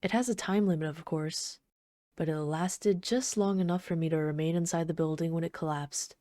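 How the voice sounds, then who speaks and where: Woman acting a mellow, obsessive yandere villainess. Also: low voice, normal speed, no echo, clean studio sound, close to an everyday voice. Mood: smug, scornful. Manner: doting, soft-spoken, possessive, taunting. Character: obsessive yandere female supervillain, sweetly menacing, adoring her captive. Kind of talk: ASMR roleplay.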